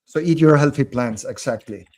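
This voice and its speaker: A man with a German accent speaks as a podcast guest at an ordinary middle pitch. He talks earnestly, circling back on his words as he thinks aloud. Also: moderate pace, a little mellow. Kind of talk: casual conversation.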